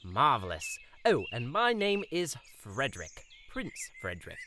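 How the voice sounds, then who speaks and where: A man's voice: mid-range voice, huge pitch swings, a little slow, bright, forceful tone, slight background noise, full character voice. A man playing a pompous, lilting fairytale prince. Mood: haughty, self-satisfied. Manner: pompous, flowery old-fashioned formal speech. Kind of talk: audio drama acting.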